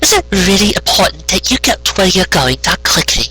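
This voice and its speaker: A man's voice: high-pitched, big pitch swings, a little fast, sharp tone, breathy edge, pitched above the performer's own voice, full character voice, low-quality recording. A man voicing a kindly, flustered female farm pony in a story, politely hesitant. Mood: hopeful, inviting.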